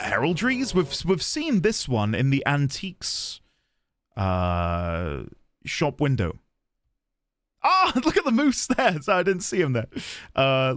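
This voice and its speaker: A man live-streaming a video game, chatting excitedly with viewers, thanking them and stumbling over restarts as he talks. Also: mid-range voice, dry recording, clean studio sound. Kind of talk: casual live-stream chat and commentary.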